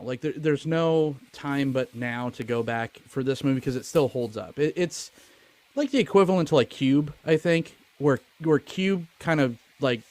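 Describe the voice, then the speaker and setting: A man's voice: medium pitch, darkish tone, dry recording. A man hosting a movie podcast in a casual chat, joking, swearing and putting himself down. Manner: joking, profane, self-deprecating. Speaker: podcast host.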